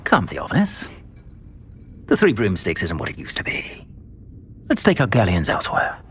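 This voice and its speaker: A condescending dark wizard in a fantasy game. His low voice swings widely in pitch as he delivers smooth, theatrical menace. Ambient noise runs behind him. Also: huge pitch swings, moderate pace, full character voice. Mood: sneering, veiled threat. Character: smooth, sneering male dark wizard gang boss.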